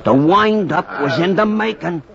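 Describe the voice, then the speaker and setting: An actor playing a folksy storyteller narrating a baseball ballad, rhythmically, in a 1940s radio play. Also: male voice, high-pitched, animated, a little slow, vocal fry, a crowd in the background, full character voice. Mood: jaunty, suspenseful. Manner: folksy, rhythmic, dropped endings. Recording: muffled, hissy 1940s radio recording.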